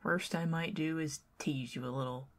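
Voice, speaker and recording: A woman with a Southern American accent doing an ASMR roleplay as a chatty, teasing neighbor who talks to the listener and drifts into soft whispers. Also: deep voice, steady tone, moderate pace. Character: friendly talkative young woman neighbor.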